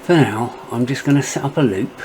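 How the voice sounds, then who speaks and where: A middle-aged man narrating a step-by-step software tutorial as its presenter. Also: mid-range voice, brisk, a little hiss or hum.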